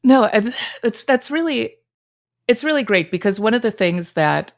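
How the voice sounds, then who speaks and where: A woman's voice: on the high side, expressive, moderate pace. A woman hosting a podcast interview, asking questions in a chatty way with many filler phrases. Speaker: podcast host.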